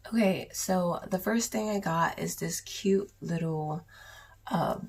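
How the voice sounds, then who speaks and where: A young woman with a sore throat and cough, chatting casually in a raspy voice through a makeup haul vlog. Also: lowish voice. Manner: chatty, rambling, with many ums and likes. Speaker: beauty vlogger.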